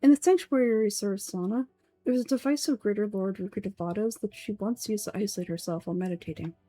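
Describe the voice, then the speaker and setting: A woman voicing a calm, aloof young male scholar with a deadpan, measured delivery in a fantasy game. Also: mid-range voice, no echo, soft background music, close to an everyday voice. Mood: calm, dry.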